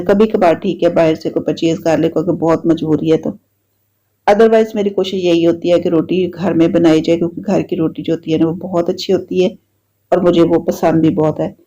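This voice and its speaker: A woman's voice: lowish voice, brisk. A woman with a Pakistani Urdu accent and a slightly sore throat, chatting casually as she narrates her home video diary. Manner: chatty, repetitive, relaxed storytelling. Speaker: vlogger. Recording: dull lo-fi sound.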